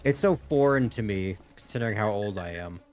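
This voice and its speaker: A man's voice: on the low side, animated, fairly quick, a little mellow, faint music behind. A man giving casual, thoughtful commentary as he reacts to video game cutscenes.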